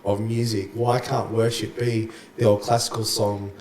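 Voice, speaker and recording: Croaky-voiced worship pastor, low. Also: male voice, moderate pace. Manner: relaxed, chatty, says mate and bro. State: hoarse, lost his voice. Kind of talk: casual podcast conversation. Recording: echoey room, faint background noise.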